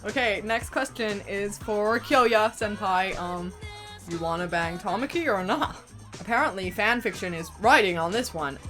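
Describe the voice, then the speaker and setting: Woman playing down-to-earth teen tomboy, medium pitch, dry, blunt, background music. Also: normal speed, a bit bright, lightly acted. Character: sardonic, down-to-earth teenage girl passing as boy student. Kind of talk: fan parody dub of anime.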